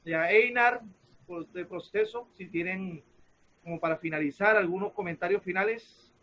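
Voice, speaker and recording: A man's voice: sits high, moderate pace. A man with a Colombian accent informally hosting and wrapping up an online discussion. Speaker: host moderating and closing a talk. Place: online video call. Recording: dull, muffled video-call audio.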